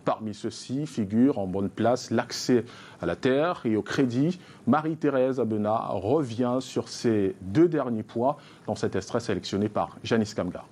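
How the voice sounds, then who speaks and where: A man presenting an evening television news bulletin, reading headlines in a measured newsreader delivery. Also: medium pitch, a little mellow. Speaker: news anchor.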